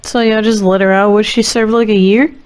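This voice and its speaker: A woman's voice: medium pitch, fairly even intonation, a little fast, darkish tone, clean tone. A woman streaming a game, reacting casually with slangy, playful and dramatic asides.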